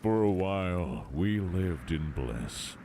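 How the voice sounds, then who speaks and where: Heartbroken game dad, super deep, slow solemn storytelling, music underneath. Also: male voice, lively intonation, dark tone, slight background noise. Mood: wistful. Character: sorrowful abandoned father recalling lost love. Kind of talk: dramatic game character narration.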